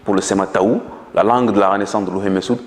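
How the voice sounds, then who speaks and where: A man's voice: lowish voice, steady tone, fast talker, reverberant, long reverb tail. A man whose first language is Manjak, explaining ideas earnestly in an interview, with frequent hesitations. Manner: earnest lecturing tone with many hesitations. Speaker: guest.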